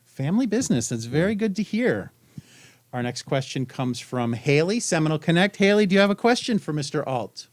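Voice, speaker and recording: A man's voice: on the high side, very animated, a little fast, no echo. A man hosting a school news show, upbeat and chatty, with a joking streak as he introduces guests and questions.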